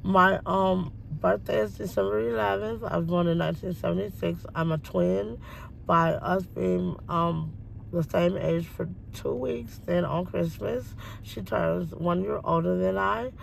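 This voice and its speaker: A woman in her forties talking at length from a parked car, meandering with many ums and pauses. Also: lowish voice, steady tone, vocal fry, a little hiss or hum, rough recording. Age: 40s. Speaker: interviewee. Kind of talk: rambling personal monologue. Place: parked car by a window, daytime.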